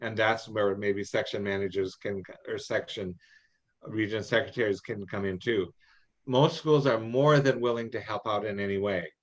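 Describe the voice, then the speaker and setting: Middle-aged man, lowish pitch, folksy adviser on an online meeting, somewhat dull lo-fi sound, halting informal delivery. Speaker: experienced contest judge and adviser. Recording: muffled, band-limited online meeting audio.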